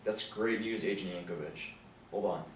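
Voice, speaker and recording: A man's voice: sits low, moderate pace, natural voice. A man acting a serious, intent FBI agent in a table read of a TV drama, clipped and businesslike. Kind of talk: table read of a TV pilot script. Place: small indoor room. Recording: roomy, distant mic, noisy ambience.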